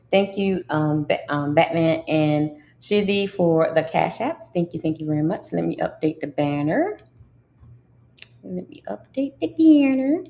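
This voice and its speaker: A woman's voice: sits low, vocal fry, a little mellow. A middle-aged woman with a congested voice, hosting a casual livestream and chatting in a drawling way with asides to her viewers. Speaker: livestream host.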